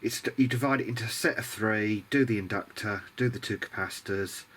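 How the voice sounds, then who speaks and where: A man's voice: fairly low pitch, a little fast, fairly bright tone, breathy edge. A British man giving a casual, muttering commentary as he works on a repair, mildly grumbling and self-deprecating. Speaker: hobbyist electronics video diarist.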